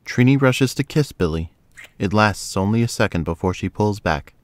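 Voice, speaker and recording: Male narrator, low register, reading scripted scenes for an audio drama. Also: expressive, moderate pace, no room sound.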